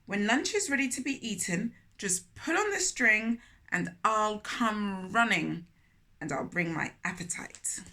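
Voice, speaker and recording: A woman voicing a greedy male spider from a children's storybook, agreeable and scheming in a measured way. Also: medium pitch, expressive, pushed and bright.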